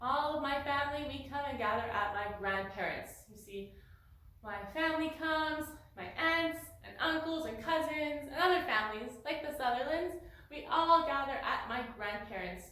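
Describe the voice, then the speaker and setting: Casting: woman as a bright-voiced, folksy 1890s farm girl, high pitch, heard from a distance in a roomy barn. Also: expressive, normal speed. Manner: folksy, chatty, addresses listeners as folks, frequent sighs. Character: chatty young pioneer girl from 1890 farm family. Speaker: museum costumed interpreter. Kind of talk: living-history monologue to the camera. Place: large barn. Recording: echoey, distant mic, faint noise.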